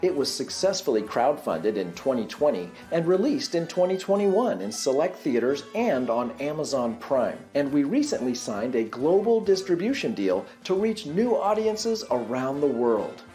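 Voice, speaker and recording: Animated man presenting a promo. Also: medium pitch, normal speed, hardly any pauses, faint music behind. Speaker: campaign presenter and film maker. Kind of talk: scripted promotional narration.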